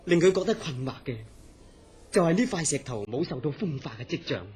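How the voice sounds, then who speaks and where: An actor playing an earnest, mysterious scientist in a horror radio drama, telling his story in a serious, deliberate way. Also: fairly high pitch, lively intonation, darkish tone, lightly acted. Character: earnest, mysterious scientist recounting uncanny dreams. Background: background music.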